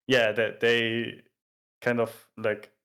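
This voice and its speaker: A guest on a stream chatting casually, rambling and hesitant, often pausing with fillers and laughing softly. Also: mid-range voice, level tone, smooth. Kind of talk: casual chat.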